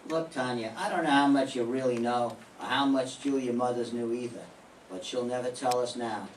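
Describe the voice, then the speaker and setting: A man's voice: medium pitch, normal speed, close to an everyday voice. An older man playing a blunt, persistent private detective in a radio drama, questioning in a clipped way. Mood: probing. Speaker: amateur radio drama performer. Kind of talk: live reading of a 1940s radio detective drama. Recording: echoey room, distant mic, faint noise.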